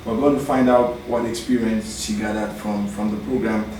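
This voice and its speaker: A man conducting an interview, asking brisk, direct questions. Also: lowish voice, level tone, darkish tone. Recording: distant mic, very echoey room, faint noise.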